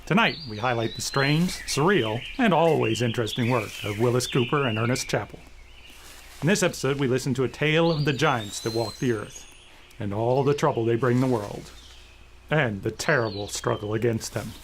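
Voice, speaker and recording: A man's voice: medium pitch, moderate pace. Theatrical male host over noisy ambience. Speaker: host introducing old radio shows. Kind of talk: scripted dramatic introduction.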